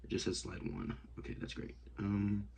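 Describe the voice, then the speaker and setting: A young man vlogging casually while working at a desk, rambling and talking to himself with many hesitations and often dropping to a hush. He sounds sniffly and congested. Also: fairly low pitch, little pitch movement, normal speed, vocal fry. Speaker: outdoors vlogger. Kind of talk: casual hands-on vlog. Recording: noisy home recording.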